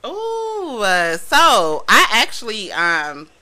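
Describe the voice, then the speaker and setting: A woman's voice: on the high side, wildly swooping pitch, extremely slow, sharp tone. A woman in her thirties, a guest in casual chat, telling stories in a laid-back, laughing way while a little tipsy. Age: 30s. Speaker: podcast guest, mobile bartender.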